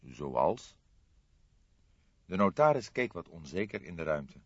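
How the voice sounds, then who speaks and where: A man's voice: sits low, big pitch swings, a little fast, slightly rough, no room sound, muffled recording. A man reading scripted story narration as an audiobook narrator.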